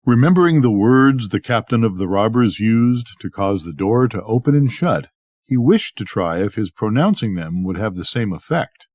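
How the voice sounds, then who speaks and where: An older man reading a scripted bedtime story in an expressive, measured storytelling manner. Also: on the low side, dry recording, clean studio sound. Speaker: storybook reader.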